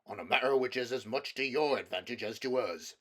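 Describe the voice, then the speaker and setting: A man's voice: mid-range voice, a little fast, a bit bright, natural voice. A man voicing a male dwarf messenger in a storybook, formal and proclaiming. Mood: formal proclamation.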